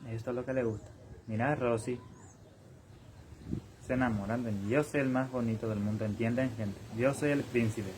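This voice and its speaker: A man's voice: medium pitch, steady tone, normal speed, long pauses, noisy background. A young man talking straight to his viewers in a boastful, repetitive monologue about himself. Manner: bragging, repetitive, addresses viewers directly.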